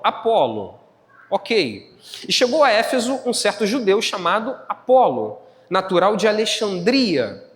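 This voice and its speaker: A man's voice: sits high, wildly swooping pitch, measured pace, fairly bright tone. A man with a Brazilian Portuguese accent preaching a church sermon as a pastor, teaching step by step, repeating phrases and putting check-in questions to his listeners. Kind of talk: church sermon with Bible exposition. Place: church hall, live service. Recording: long hall reverb.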